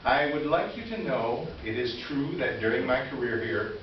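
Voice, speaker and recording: A man playing an earnest, wronged store employee near retirement, reading a formal confessional letter in reader's theater with deliberate delivery. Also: mid-range voice, close to an everyday voice. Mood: earnest, aggrieved. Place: indoor live stage presentation. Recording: echoey room, distant mic, muffled, noisy.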